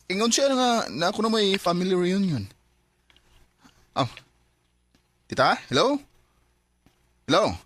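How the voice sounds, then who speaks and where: A man's voice: high-pitched, very animated, takes it slowly, long pauses, fairly bright tone, a little hiss or hum, full character voice. A man with a Cebuano Filipino accent playing an earnest, trusting young boyfriend in a Bisaya radio drama. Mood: worried.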